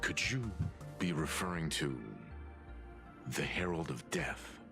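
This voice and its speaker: An actor playing a grave, courteous, composed man with a deep gravelly voice in a video game. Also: very animated, takes it slowly, exaggerated voice. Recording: game dialogue under loud music.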